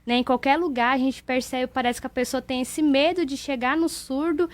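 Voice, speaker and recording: A woman's voice: high voice, fairly even intonation, moderate pace, dry recording. A woman giving her testimony as a guest in a radio interview, speaking earnestly and plainly. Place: radio studio.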